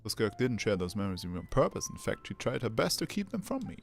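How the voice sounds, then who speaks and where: A woman's voice: rumbling low, sing-song, fast talker, gravelly. A native German woman casually reacting to a video game and reading dialogue aloud as she plays. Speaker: game streamer. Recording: faint game music behind.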